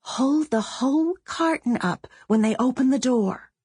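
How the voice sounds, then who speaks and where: Lady doing a bossy 11 year old girl, raised pitch, insistent and clipped, storybook style. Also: lively intonation, unhurried, darkish tone, breathy edge, no echo, clean studio sound, full character voice. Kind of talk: audiobook character voice.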